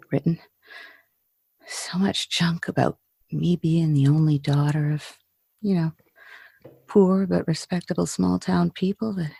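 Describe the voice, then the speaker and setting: Radio drama: bitter, wistful Hollywood starlet with slum roots, played by a woman; low, slangy, halting delivery, close dry sound. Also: warm tone, dry recording, full character voice. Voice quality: husky, breathy. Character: jaded female Hollywood film star, slum-raised, frightened, bitterly wistful. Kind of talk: 1940s radio drama acting.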